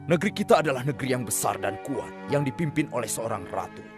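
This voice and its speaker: A man's voice: mid-range voice, expressive, fast, over music, lightly acted. A man acting a loyal, hawkish royal adviser in a cartoon, speaking formally and emphatically. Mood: resolute.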